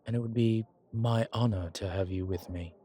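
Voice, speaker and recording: A man playing an ancient vampire commander in an intimate audio roleplay, speaking with formal, old-fashioned diction and a measured, haughty manner. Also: on the low side, steady tone, dark tone, slightly rough. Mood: tender, touched. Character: ancient male vampire commander, haughty yet tender to his companion. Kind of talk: intimate audio roleplay acting, one side of a conversation.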